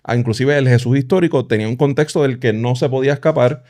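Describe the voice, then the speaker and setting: Fast-talking Puerto Rican man. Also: medium pitch. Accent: Puerto Rican Spanish. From Puerto Rico. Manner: quick, lively, sometimes mixes in English. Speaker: podcast co-host. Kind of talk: casual church discussion.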